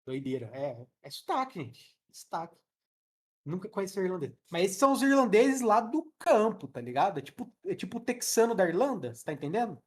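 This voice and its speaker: A man's voice: high voice, very animated, a little fast. A Brazilian man teaching English in a casual live online class, chatty and joking with slang, speaking into a headset. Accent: Brazilian Portuguese.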